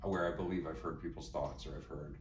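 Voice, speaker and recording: A man's voice: rumbling low, steady tone, brisk. A middle-aged man speaking as a psychologist and teacher in a reflective interview, thoughtful and rambling with many fillers and restarts. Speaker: psychologist and teacher, podcast guest. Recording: echoey room, mic at a distance, faint noise.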